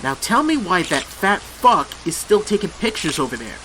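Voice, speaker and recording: An actor playing a blunt, sarcastic, foul-mouthed small-town police chief in a radio drama. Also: male voice, sits high, sing-song, moderate pace, pushed and bright, a little hiss or hum, heavily acted. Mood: sardonic.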